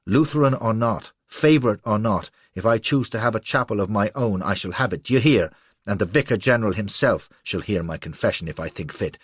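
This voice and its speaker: A haughty, commanding woman with a rich, husky voice, full of contempt for those beneath her, as a male narrator puts her on. Her lines lift well above the reader's own pitch and rise and fall with lively force. Recorded close and dry. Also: moderate pace, no echo. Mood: contemptuous. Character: imperious, beautiful woman, haughty and scornful.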